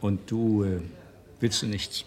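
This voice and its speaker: Low-voiced, needling, sardonic drinker. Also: male voice, flat delivery, takes it slowly, darkish tone. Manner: sarcastic, falsely solicitous. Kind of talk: radio drama acting.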